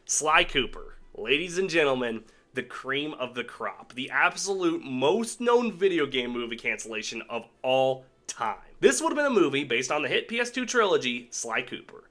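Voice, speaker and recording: A man's voice: mid-range voice, fairly bright tone. A man hosting a video with casual scripted commentary, sweary and sarcastic in his delivery. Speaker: video host. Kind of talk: casual scripted commentary on cancelled game films.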